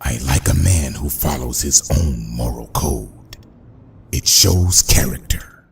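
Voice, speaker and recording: An actor playing a suave, menacing middle-aged crime boss in a motion comic, speaking pompously and deliberately in a gravelly voice. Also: male voice, rumbling low, animated, heavily acted. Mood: coldly commanding.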